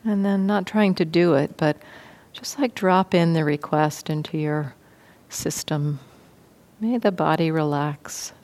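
A woman teaching meditation, guiding a sitting practice in a gentle, unhurried way with long pauses. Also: sits low. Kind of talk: guided meditation instruction.

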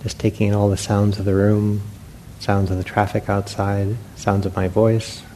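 Low, mellow man guiding meditation. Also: level tone. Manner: unhurried, gentle guidance with long pauses. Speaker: meditation teacher. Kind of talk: guided meditation instruction to a group. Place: meditation hall with a group. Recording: faint background noise.

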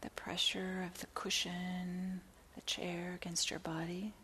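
A woman teaching guided meditation in a soft, breathy near-whisper, gently and with long pauses between phrases. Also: fairly low pitch, completely flat delivery, poor audio. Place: meditation center. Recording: clear background hiss.

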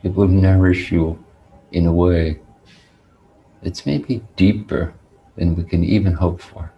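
Very slow, deep-voiced man guiding meditation. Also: steady tone, dark tone, muffled recording, a little hiss or hum. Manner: deliberate, measured, with long pauses. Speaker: meditation teacher. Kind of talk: guided meditation talk.

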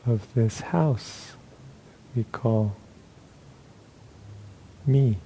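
A man leading a guided meditation as a teacher, speaking in short, unhurried phrases with long pauses between them. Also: mid-range voice, dark tone, a little hiss or hum, low-quality recording.

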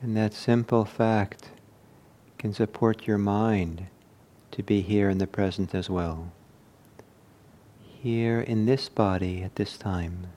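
A man leading a guided meditation as a teacher, speaking in short, measured phrases with long pauses. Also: on the low side, fairly even intonation, mellow.

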